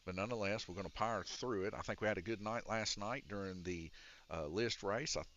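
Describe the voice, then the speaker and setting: A low-voiced man with a raspy, chest-congested tone hosts a live-streamed RC race night indoors. His voice is deep yet forceful and bright-edged, and he rambles through the rules with frequent fillers. The sound is dull and lo-fi, like a hobby live stream. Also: a little fast, slight background noise. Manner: rambling and explanatory, with many "uh" fillers. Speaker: race announcer and organizer. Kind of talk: casual live-stream commentary. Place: indoor RC raceway shop. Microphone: wired or wireless handheld mic.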